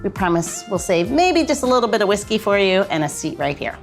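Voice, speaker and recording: A woman's voice: mid-range voice, big pitch swings, fairly quick, soft background music. A woman hosting a podcast in casual chat, with a playful, joking, conversational manner. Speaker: podcast host.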